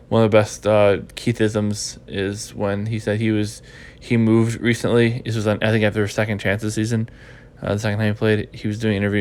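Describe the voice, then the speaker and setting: A man's voice: on the low side, a little hiss or hum. A stuffy, congested man hosting a casual podcast recap, rambling and repeating himself as he thinks. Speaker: podcast host.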